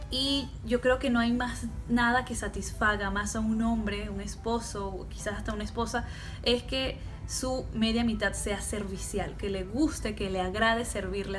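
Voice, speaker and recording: A young woman talks to her camera in a chatty, easygoing vlog style. Her voice sits a little high, and music plays clearly underneath. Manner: chatty, laughs often, mixes in English. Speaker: vlogger. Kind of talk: casual vlog talk to camera.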